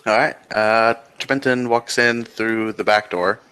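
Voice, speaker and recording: A man describes his character's actions in a tabletop roleplaying game. His voice is a little low, with a bright, tense edge to it. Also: steady tone, a little slow, clear, resonant tone.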